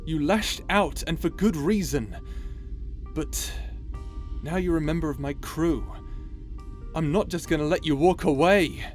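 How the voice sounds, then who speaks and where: A man's voice: on the high side, a little slow, faint music behind, natural voice. An actor playing an earnest, protective young space pirate captain in an audio roleplay, flustered and stammering as he speaks emphatically. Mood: alarmed, protective.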